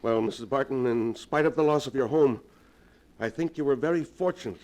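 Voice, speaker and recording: An actor playing a grave, resolute doctor in an earnest, theatrical 1940s radio melodrama style. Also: male voice, mid-range voice, a little fast, a little mellow, lightly acted. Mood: calm. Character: grave, resolute male doctor plotting against evil spirit. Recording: vintage 1940s radio recording.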